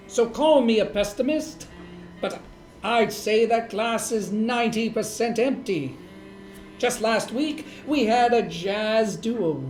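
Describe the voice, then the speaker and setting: A weary hardboiled detective a man voiced by a woman speaks slowly and wryly in a noir parody. The deadpan delivery sits in a roomy echo over faint music. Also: medium pitch. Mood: weary, brooding. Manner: deadpan, dry, measured. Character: wry, weary male hardboiled hotel detective. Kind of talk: noir parody dialogue and narration.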